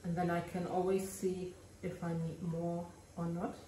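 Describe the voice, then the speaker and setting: A German woman with a German accent speaks slowly and gently, her voice low and mellow. She narrates her crafting in a hesitant way and stops now and then to search for an English word. The voice sits a bit away from the mic in a roomy space. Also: flat delivery, far from the mic, slight background noise. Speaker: resin art crafter.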